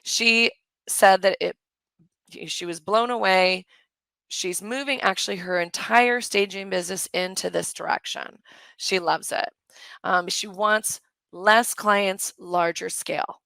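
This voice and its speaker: A middle-aged woman with a slightly hoarse voice, hosting a live webinar in a chatty, enthusiastic way and answering questions from the chat. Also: mid-range voice, measured pace, pushed and bright, no echo. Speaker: course host on a live webinar. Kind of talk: live webinar sales pitch and Q&A.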